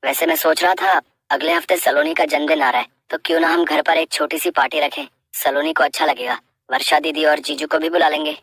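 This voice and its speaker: Woman playing an earnest young husband, low and husky. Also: sing-song, brisk, a bit bright, no room sound, exaggerated voice. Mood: thoughtful. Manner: earnest, protesting. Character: earnest young husband, dutiful son, reproachful.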